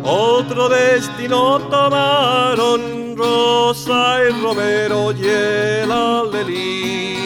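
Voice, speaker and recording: A woman's voice: a little high, little pitch movement, extremely slow, few pauses. A woman singing a folk lament in verse as a folk singer. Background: guitar folk music.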